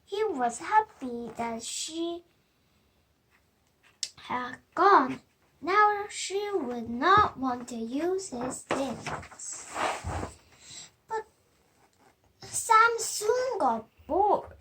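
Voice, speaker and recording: High-pitched young girl reading slowly. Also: very animated, bright, forceful tone, low-quality recording. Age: child, about 7. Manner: halting, deliberate reading with stumbles. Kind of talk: reading a children's storybook aloud.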